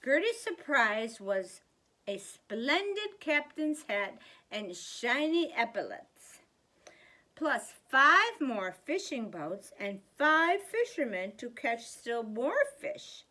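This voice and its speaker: A woman reading a children's picture book aloud as a storyteller, deliberately and with theatrical emphasis. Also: a little high, sing-song, pushed and bright.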